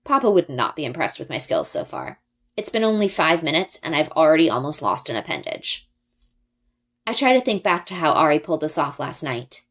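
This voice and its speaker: A woman narrates a story in an expressive read-aloud style. Her voice is slightly low for a woman, with very lively, varied intonation. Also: a little fast. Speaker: reader.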